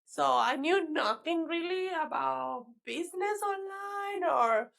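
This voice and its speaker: High, gravelly Venezuelan woman. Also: lively intonation, takes it slowly, a bit bright. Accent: Venezuelan Spanish. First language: Spanish. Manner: chatty, laughs often, restarts words. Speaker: guest, fitness coach. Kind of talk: casual interview chat.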